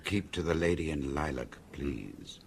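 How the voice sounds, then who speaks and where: Deep, drily prodding male friend. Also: unhurried, gravelly, slight background noise, heavily acted. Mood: teasing impatience. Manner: dry, teasing, impatient. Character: dry male friend prodding a storyteller along. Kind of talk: audio drama dialogue.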